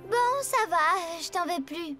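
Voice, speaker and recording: Cartoon girl: bossy, emotional, extremely high voice, exclaims over loud music. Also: very animated, unhurried, exaggerated voice. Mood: cross. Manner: scolding, exclaiming. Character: bossy, emotional young girl of a theatre troupe. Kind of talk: animated cartoon acting.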